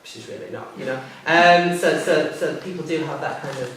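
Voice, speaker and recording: A man chairing a panel discussion, hesitant, with many pauses and restarts. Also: on the high side, steady tone, a bit bright, a little hiss or hum. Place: theatre hall. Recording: echoey hall from far off.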